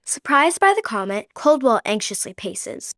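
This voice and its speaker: A woman narrating the scene directions of a radio play in an even, descriptive way. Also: fairly high pitch, normal speed, dry recording, studio quality. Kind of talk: scripted narration of stage directions.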